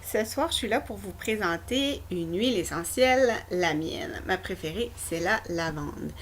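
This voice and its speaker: A woman's voice: mid-range voice, lively intonation, a little slow, a little hiss or hum. A woman with a Québécois accent, casually presenting a product straight to her viewers in a chatty, informal way. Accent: Québécois French. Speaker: essential oil presenter. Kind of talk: casual product demo vlog.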